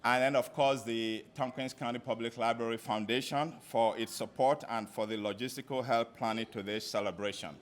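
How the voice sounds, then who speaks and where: A man, a former library board president, giving a thank-you speech at a live event, warm and halting with many fillers. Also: mid-range voice, fairly even intonation. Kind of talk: ceremony speech.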